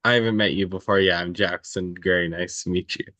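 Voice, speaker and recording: A man's voice: deep voice, fairly even intonation, brisk, sharp tone, no room sound. A tired man chatting casually and informally with a group. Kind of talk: casual chat.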